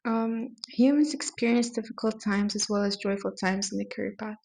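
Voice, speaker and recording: A woman's voice: mid-range voice, steady tone, moderate pace, creaky, darkish tone, breathy edge. A young adult woman hosting a podcast, reading prepared questions with hesitant ums. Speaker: podcast host.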